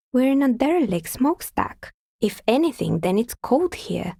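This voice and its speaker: Wry female artisan, game character, husky and creaky, measured, matter-of-fact delivery. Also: a little high, warm tone, no room sound, clean studio sound, exaggerated voice. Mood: skeptical, irritated. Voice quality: husky, breathy with some creak. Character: wry, pragmatic woman craftsperson, a novelty dicemaker. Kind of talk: video game character dialogue.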